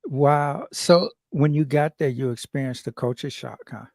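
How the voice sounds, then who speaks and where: Gravelly-voiced male interviewer. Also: mid-range voice, unhurried, darkish tone, no room sound. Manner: short prompting questions, hesitant. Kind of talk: casual interview.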